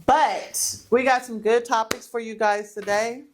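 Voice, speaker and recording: A woman's voice: fairly high pitch, steady tone, measured pace, slight background noise. A woman in her thirties co-hosting a podcast in casual chat, playful and teasing, laughing often. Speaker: podcast co-host.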